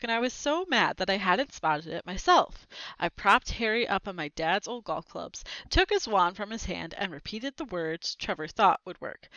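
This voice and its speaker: A woman reads a children's chapter book aloud with expressive flair and chatty asides to her viewers. Her voice is bright and lively. The recording is dry and slightly dull. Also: medium pitch, dry recording. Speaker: read-aloud host.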